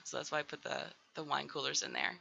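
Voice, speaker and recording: A woman talks loosely over her build, a raspy morning voice still getting over a cold. Her tone is very bright and edgy, with a lot of fry in it. The recording sounds dull and lo-fi. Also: low voice, level tone, fast talker. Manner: rambling, full of "um" and "like". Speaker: Sims builder doing a voiceover. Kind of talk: casual voiceover chat.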